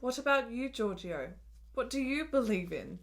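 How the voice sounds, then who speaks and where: An actress playing an ailing, lonely, sharp-witted woman of the 1870s in a radio drama, speaking in measured, literate, wry phrases. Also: on the high side, expressive. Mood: wistful, wry. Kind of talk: period radio drama acting.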